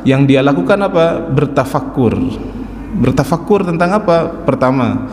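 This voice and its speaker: A man in his forties giving a calm, explaining religious lecture at a mosque study circle, often adding an affirming "ya". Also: a little high, moderate pace, slight background noise. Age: 40s. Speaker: religious teacher. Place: large mosque hall. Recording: echoey mosque hall with faint ambience.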